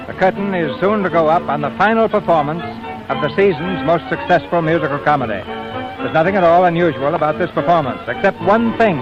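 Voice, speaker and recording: An actor narrating a 1940s radio play, setting the scene dramatically. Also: male voice, fairly high pitch, natural voice. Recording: muffled 1944 radio broadcast with music.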